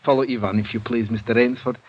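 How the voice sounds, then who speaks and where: An actor playing a suave aristocratic general, formal, gracious and coolly commanding, in an old-time radio drama. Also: male voice, mid-range voice, fairly even intonation, fast, natural voice. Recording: dull, muffled vintage radio recording.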